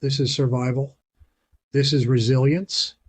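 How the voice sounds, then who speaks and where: A man in a mid-range voice narrates a prepper video from a script. His delivery is emphatic and punchy, and he leaves dramatic pauses between short phrases. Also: mid-range voice, little pitch movement, husky. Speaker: channel host.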